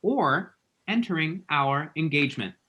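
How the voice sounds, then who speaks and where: A man's voice: a little high, lively intonation, unhurried, pushed and bright, a little hiss or hum. A man acting a dramatic, lovestruck man in his late twenties in a rom-com script read, rambling and quippy. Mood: excited, insistent. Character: dramatic, lovestruck, scrolling-obsessed twenty-seven-year-old man. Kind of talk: screenplay table read, rom-com dialogue.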